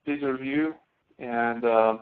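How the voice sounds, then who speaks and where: A man working as a college instructor, explaining step by step in an online class with a halting delivery that often restarts. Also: medium pitch, steady tone. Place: online screen-sharing class session. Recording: tinny phone-like webinar audio.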